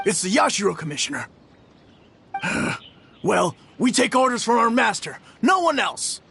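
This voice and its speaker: A defiant game henchman. His voice is pushed very high and forceful, with a gravelly edge, blustering in loud shouts over faint music. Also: sing-song, measured pace, heavily acted. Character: rough, loyal henchman guard, defiant and panicky. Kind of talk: anime-style game voice acting.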